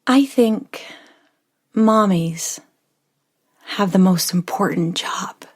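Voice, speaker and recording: A woman voicing an eager little girl of almost five, speaking high and breathy, with some lines half-whispered. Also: drawn-out words, a little mellow, full character voice. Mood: earnest. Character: small excited little girl nearly five.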